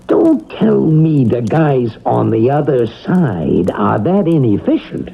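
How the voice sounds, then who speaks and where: A man's voice: mid-range voice, big pitch swings, takes it slowly, vocal fry, husky, heavily acted. An actor playing a cantankerous, sarcastic sick man who refuses to die, in classic radio drama style. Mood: skeptical. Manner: sarcastic, scornful, blustery. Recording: dull, lo-fi old radio recording.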